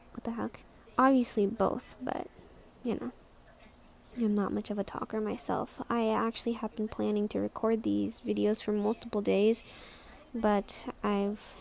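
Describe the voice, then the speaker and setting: A young woman with a squeaky voice rambling in a casual vlog, hesitant and self-conscious, full of restarts and trailing words. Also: gravelly, darkish tone. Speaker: vlogger.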